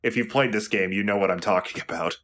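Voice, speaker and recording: A man's voice: fairly low pitch, fast talker, a bit bright. A young man giving casual, explanatory video game commentary with some swearing. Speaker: let's play host and player.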